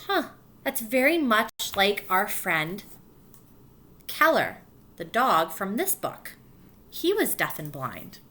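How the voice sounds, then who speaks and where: A woman's voice: mid-range voice, huge pitch swings. A woman teacher reading a picture book aloud to her class, stopping to explain and check understanding. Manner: warm encouraging teacher voice, pausing to explain.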